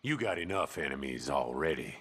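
An actor playing a grim, menacing American outlaw in a western video game, with an ominous, taunting drawl. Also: male voice, extremely low pitch, sing-song, brisk, fairly bright tone, breathy edge, heavily acted. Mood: taunting warning. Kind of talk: video game cutscene monologue.

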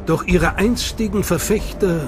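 A man giving measured, scripted documentary narration. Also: high voice. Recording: voice-over with loud music behind.

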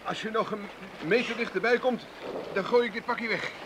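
A man plays a sardonic adventure hero in a radio play. His voice sits a little high, dry and wry even when cornered. There is clear noise around him. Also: moderate pace, close to an everyday voice. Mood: defiant. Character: wry, cornered male private agent hero. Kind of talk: radio drama acting.

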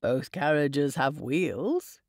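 A woman reading an audiobook, voicing a young gentleman husband with a low, dry, playfully teasing manner. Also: very slow, no echo. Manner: low, drawling, wry teasing.

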